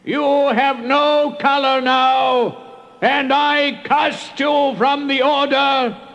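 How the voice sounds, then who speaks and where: An actor playing a commanding old wizard, stern yet kindly and theatrical, in a fantasy audio drama. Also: male voice, very high voice, little pitch movement, very slow, a bit bright, highs cut off, full character voice. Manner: theatrical, declamatory. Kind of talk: fantasy radio drama acting.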